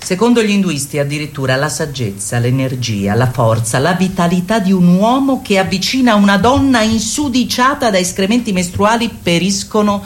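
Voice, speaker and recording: A woman's voice: medium pitch, expressive, normal speed, few pauses, natural voice. An actress plays a woman leading a circle in a radio theatre piece. Her voice sits at an average height and she reads emphatically, with an ironic, mock-solemn edge. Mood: grave, emphatic. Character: woman leading a circle, reading out decrees with irony. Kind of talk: theatrical radio drama monologue and dialogue.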